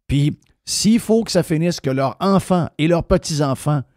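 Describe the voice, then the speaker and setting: A man's voice: fairly high pitch, normal speed, a little mellow, no echo, clean studio sound. A man with a Québécois French accent hosting a radio talk show, ranting bluntly and swearing freely. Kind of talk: opinionated radio rant.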